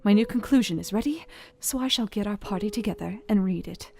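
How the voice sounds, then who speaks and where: An actress playing an earnest young Victorian wife, reading her journal with precise, deliberate diction in a Gothic audio drama. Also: mid-range voice, gravelly, dark tone, natural voice. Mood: determined. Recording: music behind the voice. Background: instrumental music.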